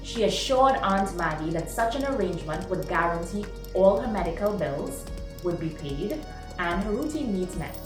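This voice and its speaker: A woman's voice: lowish voice, animated, moderate pace. Roomy, slightly distant woman storyteller over music. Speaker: storyteller reading short stories aloud. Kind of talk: scripted narration of a short story.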